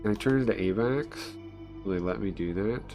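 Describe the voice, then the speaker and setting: A man's voice: fairly low pitch, brisk, highs cut off, over music. A man livestreaming casually, thinking aloud, muttering to himself and repeating phrases as he goes.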